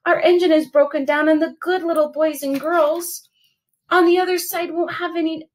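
A woman's voice: very high voice, fairly even intonation, moderate pace, voice pushed up. A woman voicing a chorus of small dolls and toys who plead urgently in raised voices in a children's storybook.

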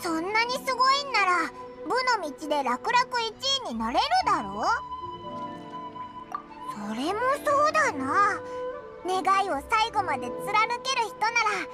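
A woman playing a small, chatty, childlike fairy companion in an anime game, blunt and casual, with a squeaky voice. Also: big pitch swings, unhurried, heavily acted. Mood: puzzled, blunt. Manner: blunt, casual, tomboyish speech. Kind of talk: anime video game voice acting. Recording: game music behind the voice.

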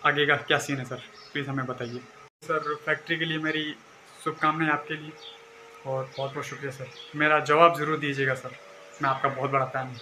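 A man's voice: a little high, level tone, brisk, pushed and bright, low-quality recording. A young man from Rajasthan, India, earnestly addressing a film star, saying sir constantly, on a phone video. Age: young adult. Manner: earnest, adds sir after most phrases. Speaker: fan sending a video message. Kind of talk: selfie video message. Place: indoor room. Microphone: phone camera at arm's length. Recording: phone video with background noise.

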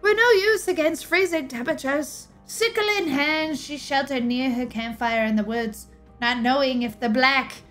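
Young female, higher-pitched, dramatic lore narration with weighty pauses, recorded close over faint music. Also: a bit bright. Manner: theatrical, drawn-out emphasis with pauses. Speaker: streamer reading game lore aloud. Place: small indoor room, livestream setup.

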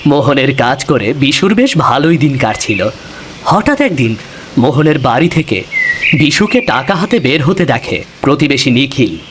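A man's voice: medium pitch, very animated, normal speed, noisy background. A man reading scripted narration for a cartoon fairy tale.

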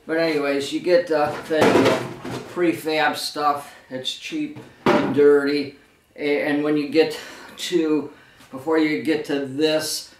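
Middle-aged man, echoey and distant. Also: mid-range voice. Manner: rambling, folksy, plainspoken. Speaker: waterfall materials seller and presenter. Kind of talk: informal product demonstration. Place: living room indoors. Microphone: camera a few metres away. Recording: roomy, echoey, distant camera microphone.

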